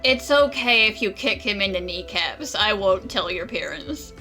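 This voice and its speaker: A woman's voice: mid-range voice, animated, normal speed, pushed and bright, faint music behind. A woman gaming streamer giving casual, joking commentary in a playful, sardonic manner.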